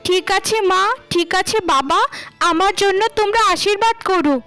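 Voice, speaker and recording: A woman's voice: very high voice, normal speed, bright, forceful tone, faint music behind. A woman voicing a breezy, self-assured young bride in a cartoon drama, with a chirpy manner. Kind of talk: melodramatic cartoon dubbing.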